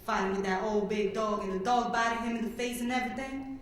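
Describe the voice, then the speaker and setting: A woman's voice: fairly high pitch, fairly even intonation, fast, lightly acted. Teen girl as a devoted country wife, echoey hall. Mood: worried, explaining. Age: teenager. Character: tender, protective Southern country wife. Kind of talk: dramatic reading on stage. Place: public reading in a hall. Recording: very echoey hall, faint noise.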